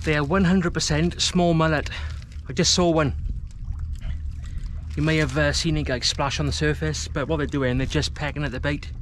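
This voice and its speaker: A relaxed man in his thirties talks to a camera on a tripod while fishing from a rocky seawall, his voice a touch higher than most men's. He chats easily about what he sees on the water, recorded outdoors with a faint outdoor hum behind him. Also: normal speed. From Wales. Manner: relaxed, chatty, commentating on his fishing as it happens. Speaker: fishing vlogger. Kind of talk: casual outdoor vlog talk to camera. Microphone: camera on a tripod at a short distance.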